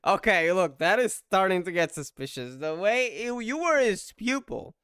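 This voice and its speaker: A man's voice: high voice, big pitch swings, normal speed, a bit bright, smooth, no room sound, clean studio sound. A man giving casual reaction commentary as a gaming content creator.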